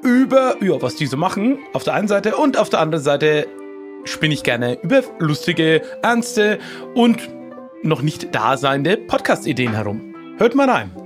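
A man's voice: on the high side, sing-song, normal speed, music in the background. A man appearing as a podcast guest, chatting casually about his own podcasting. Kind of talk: casual chat.

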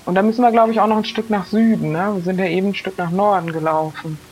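A woman's voice: medium pitch, fairly even intonation, fast, a little mellow, a little hiss or hum. A woman improvising as a player in an audio adventure, speaking in character and describing what she does. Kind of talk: improvised in-character role play.

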